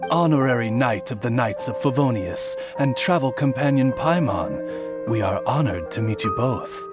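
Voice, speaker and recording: A man's voice: mid-range voice, moderate pace, lightly acted. Oz, a man voicing a courtly talking raven. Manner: calm, smooth, formal. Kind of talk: video game voice acting. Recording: game audio with music behind.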